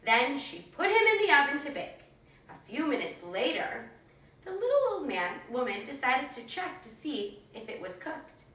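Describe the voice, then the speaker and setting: Animated high-voiced woman storyteller. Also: normal speed. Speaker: storyteller for young children. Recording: echoey room, distant microphone.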